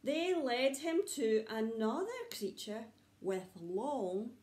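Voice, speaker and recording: A woman tells a children's story in a high, very lively voice. She goes slowly, with dramatic pauses and suspenseful repetition, in a room with noticeable echo. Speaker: nursery teacher reading a story. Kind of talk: expressive storytelling for young children. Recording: echoey room.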